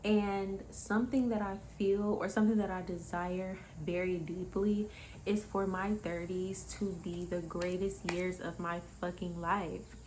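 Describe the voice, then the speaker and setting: A woman's voice: on the low side, flat delivery, measured pace, darkish tone, slight background noise, poor audio. A woman in her twenties giving a casual motivational monologue, candid and emphatic, swearing freely and calling the listener honey. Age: 20s. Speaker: spiritual vlogger.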